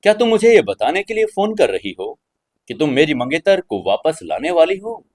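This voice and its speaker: A man's voice: a little high, very animated, moderate pace, a little mellow, no echo, clean studio sound. Man voicing a haughty, stern young lord. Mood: cool demanding. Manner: stern, condescending. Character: haughty young male lord, commanding and contemptuous.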